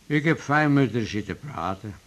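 A man's voice: medium pitch, animated, moderate pace, natural voice. An actor playing a chatty, wry male patient with complaints in a radio drama. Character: chatty, ailing male patient in a waiting room.

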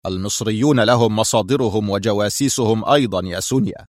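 A man's voice: fairly low pitch, quick pace, darkish tone, no echo, clean studio sound, natural voice. A man playing a stern, mysterious boss of a criminal organisation, curt and firm, in a story reading. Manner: curt, firm, cold authority.

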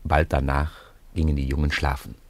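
A man narrating a 1950s radio play in a calm, measured storytelling manner. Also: extremely low pitch.

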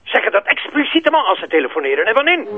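A man's voice: sits high, sing-song, quick pace, loud music behind the voice. Imperious old grandfather, tinny phone. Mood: concerned, insistent. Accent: Flemish, French-tinged. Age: older. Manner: demanding, peppers speech with French. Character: imperious elderly bourgeois grandfather, impatient. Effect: telephone filter. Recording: thin, tinny phone line.

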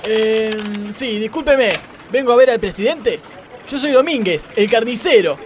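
A man's voice: sits high, measured pace, noisy background. A man playing a fussy, chatty Argentine butcher in a comic radio sketch. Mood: impatient, businesslike.

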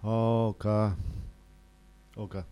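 A man's voice: lowish voice, level tone, extremely slow, dark tone, clear, resonant tone. A man playing a naive, stubborn would-be singer with an Argentine Rioplatense accent, eager and insistent, in an improvised radio drama. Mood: agreeable.

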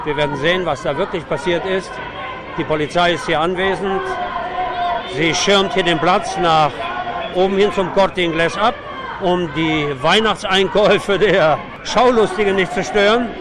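High-voiced male street reporter amid loud crowd noise. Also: moderate pace. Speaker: radio reporter and show host. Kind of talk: live on-location radio reportage from a street protest. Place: outdoors on a city square at a protest. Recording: noisy outdoor street recording amid a crowd. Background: loud crowd, street and vehicle noise.

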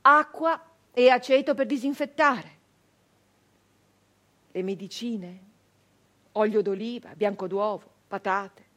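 An actress playing a fierce, embittered nineteenth-century brigand woman in an intense, declamatory theatrical monologue with dramatic pauses. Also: medium pitch. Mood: weary, matter-of-fact. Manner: intense, declamatory, with slow pauses and hushed drops. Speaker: stage actress.

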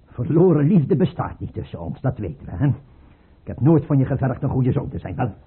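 An actor playing a paranoid, scornful tsar in a radio play, imperious and abrupt, with a husky, rough voice. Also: male voice, mid-range voice, very animated, fairly quick. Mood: scornful, menacing. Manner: imperious, abrupt, mocking, swinging from mutter to outburst. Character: paranoid tyrant tsar, erratic, scornful, suspicious father. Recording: muffled, tinny old radio play recording.

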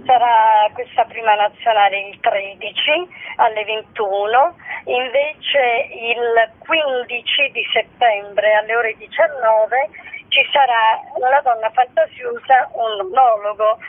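Slow-talking woman on a muffled phone line. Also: fairly high pitch, fairly even intonation, dry recording. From Sicily, Italy. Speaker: actress and monologue writer, interview guest. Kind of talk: casual promotional radio interview. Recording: band-limited phone-like radio line.

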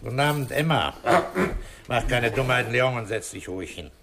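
An actor playing a calm, authoritative police inspector in a 1960s German radio crime drama, measured and commanding as he probes. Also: medium pitch, very noisy, natural voice. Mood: firm questioning.

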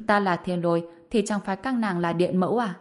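A woman's voice: mid-range voice, brisk, darkish tone, lightly acted. Woman acting smug, playful male inventor. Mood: pleased, teasing. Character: proud, playful male inventor, master of the household.

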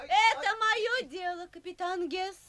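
An actress playing a mysterious, resolute young woman of the sea in an audio drama, imperious and deliberate. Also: extremely high pitch, crowd noise. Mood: commanding.

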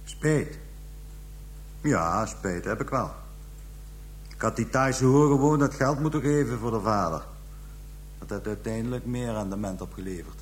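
Low-voiced cold, casual killer. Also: male voice, expressive, unhurried, pauses often, a little hiss or hum. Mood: cold, unrepentant. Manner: casual, unrepentant, matter-of-fact. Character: cold, matter-of-fact male murderer confessing to police. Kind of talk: radio drama acting.

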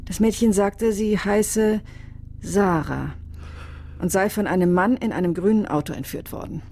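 An actress playing a solemn, soulful female psychic medium in a radio crime drama, speaking in a measured, hesitant, grave way. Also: mid-range voice, mellow, slight background noise, natural voice. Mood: solemn, mysterious.

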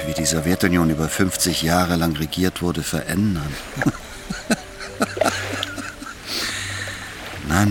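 An actor playing a smug, menacing Soviet party boss in a German radio drama, with a mocking, condescending and unhurried delivery. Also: male voice, low voice, noisy background. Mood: mock regret.

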